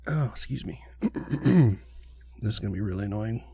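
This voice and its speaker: Gaming host, male, rambling casual commentary; gravelly, dark voice with a lingering cold. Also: fairly low pitch, normal speed, no echo. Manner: rambling, filler-heavy, relaxed. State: recovering from illness, sniffly, clearing his throat. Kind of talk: casual let's-play commentary.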